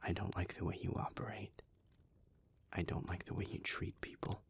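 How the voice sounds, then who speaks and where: A bitter male vampire with a low, husky voice, acted out by a man for an audio roleplay. He is emotionally raw and defensive, speaking with confessional intensity. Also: little pitch movement, normal speed, whispered, exaggerated voice. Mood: subdued, regretful. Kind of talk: dramatic audio roleplay acting.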